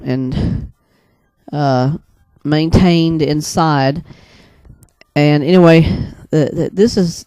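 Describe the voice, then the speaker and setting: Man, casual personal storytelling, rambling with pauses, slightly high pitch, very mellow tone. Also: clean tone, no room sound. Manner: hesitant, rambling, pauses often mid-sentence. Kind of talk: casual first-person storytelling, recounting a dream.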